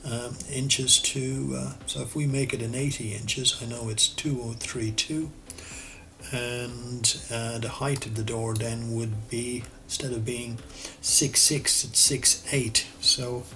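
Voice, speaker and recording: An Irish man walks through a CAD drawing in a screen-recorded tutorial, talking things through as he goes and correcting himself. His voice is gravelly and bright. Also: medium pitch, slow. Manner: relaxed, thinks aloud while working, self-correcting. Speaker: architectural designer and CAD tutor.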